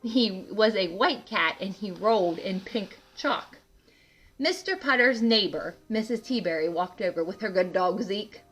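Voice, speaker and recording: A woman reading a picture book aloud to children in an animated way, adding asides and questions to the listeners. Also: medium pitch, a little slow, husky, soft background music. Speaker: read-aloud host for children.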